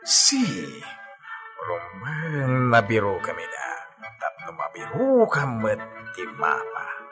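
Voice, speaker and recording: A man's voice: mid-range voice, huge pitch swings, slow pace, music in the background. A man playing a sly, theatrical conspirator in a radio drama. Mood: sly, probing. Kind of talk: Ilocano radio drama acting.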